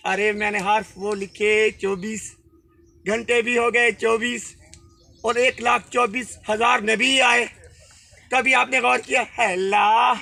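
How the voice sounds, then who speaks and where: A man's voice: extremely high pitch, gravelly, sharp tone, slight background noise, exaggerated voice. A man voicing a boastful, rambling third-gender character in a comic monologue, repeating himself and admitting his tales are made up. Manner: feminine speech put on.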